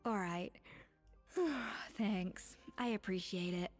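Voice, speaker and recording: A woman's voice: mid-range voice, slow. A woman voicing an earnest, exacting young chef in a video game, speaking articulately and with self-assurance, with game music behind her. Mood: exhausted.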